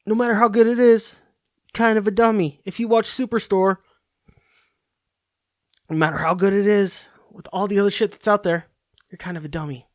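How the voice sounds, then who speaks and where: A man's voice: high voice, steady tone, normal speed, long pauses, dry recording, studio quality. A man hosting a podcast, ranting in a profane, rambling, sarcastic monologue. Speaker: podcast host.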